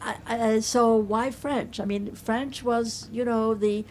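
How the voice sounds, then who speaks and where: An older woman with a slight Hungarian accent, a native Hungarian speaker, answering audience questions at a book talk in a playful, affectionate, deliberate way. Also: a little high, fairly even intonation, a little mellow, a little hiss or hum. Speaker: author and professor giving a book talk. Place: bookstore event.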